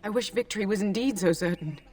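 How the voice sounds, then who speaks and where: A woman's voice: mid-range voice, fast talker, darkish tone, no echo, recorded from a distance, natural voice. Worried young wife of a lord, fantasy RPG, female actor; dry, set back from the mic. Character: anxious young noble wife and mother. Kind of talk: fantasy video game acting.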